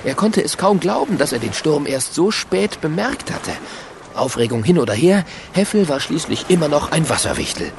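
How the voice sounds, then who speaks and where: A man's voice: a little high, very animated, normal speed, creaky, noisy background. A man narrating a children's radio play in a vivid storytelling manner. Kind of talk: scripted audio drama narration.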